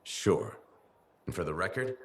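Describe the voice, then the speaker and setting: A man's voice: deep voice, animated, measured pace. A man voicing a dry, easygoing male mercenary freelancer in a video game.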